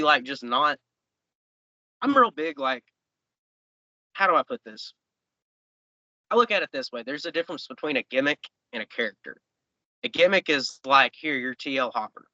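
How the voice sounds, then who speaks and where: A man in his late twenties with a drawl talks in a loose, rambling way, full of fillers and restarts. His voice is very bright and forceful. The sound is dry and a little dull, like a podcast mic. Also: fairly high pitch, very animated, moderate pace, pauses often, no echo. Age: 20s. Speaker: professional wrestler guest. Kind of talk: casual interview chat.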